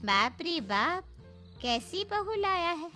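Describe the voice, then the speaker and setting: A woman's voice: extremely high pitch, big pitch swings, pushed and bright, soft background music, pitched above the performer's own voice, full character voice. A woman voicing a gossipy, dramatic middle-aged neighbour who sounds alarmed, in a cartoon story. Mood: aghast. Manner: dramatic, drawn-out. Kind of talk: cartoon voice acting.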